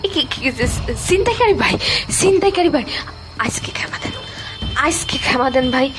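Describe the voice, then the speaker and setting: Audio drama: woman playing distraught Bangladeshi street woman, high register, repetitive pleading delivery, music underneath. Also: sing-song. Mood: frightened pleading. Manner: stammering, repeats words, drawn-out. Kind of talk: emotional audio drama acting. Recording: music behind the voice.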